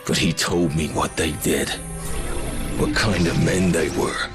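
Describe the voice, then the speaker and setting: An actor playing a haunted, grimly obsessed soldier under interrogation in a video game, brooding and halting. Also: male voice, low-pitched, steady tone. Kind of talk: video game cutscene acting. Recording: loud music behind.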